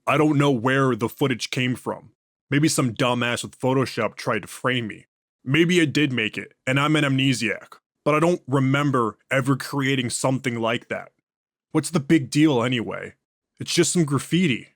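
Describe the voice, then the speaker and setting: A man's voice: medium pitch, moderate pace, a bit bright, no echo, studio quality, close to an everyday voice. Foul-mouthed prisoner, voiced by a man, agitated and profane, in a horror audio drama. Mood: defensive. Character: foul-mouthed, defensive male prisoner under interrogation.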